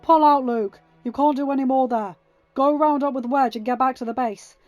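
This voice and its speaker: A woman's voice: high voice, moderate pace, dry recording, dull audio, soft background music, pitched above the performer's own voice, natural voice. A woman voicing an urgent, commanding male pilot and father who gives orders, in a fan fiction reading. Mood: firm, instructing. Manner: instructing, shouted orders over battle.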